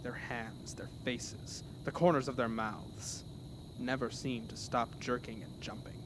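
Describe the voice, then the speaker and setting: A man reads a scripted horror tale as narrator, with theatrical, dramatic delivery. His voice sits at a middle pitch. The recording carries clear background noise. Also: fairly even intonation, measured pace.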